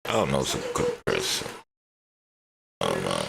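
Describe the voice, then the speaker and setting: Man on mic check, extremely deep and gravelly, slow and mumbly. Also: wildly swooping pitch, background noise. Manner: slow, mumbled, offhand test phrases. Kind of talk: mic check, testing settings live.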